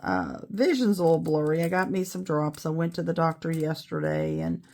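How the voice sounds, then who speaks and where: A middle-aged woman with a raspy voice after an illness, chatting casually and rambling a little while she shows how to make a beaded bracelet. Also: low-pitched, lively intonation, normal speed, darkish tone. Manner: chatty, rambling, self-deprecating. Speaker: beading video host. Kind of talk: casual tutorial chat.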